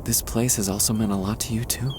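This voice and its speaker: A man playing a shy, tender young man confessing love to a childhood friend, hesitant and soft-hearted, in an intimate ASMR roleplay. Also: on the low side, steady tone, noisy background, lightly acted. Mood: relieved, happy. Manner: soft-hearted, hesitant, halting with nervous chuckles. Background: quiet park ambience.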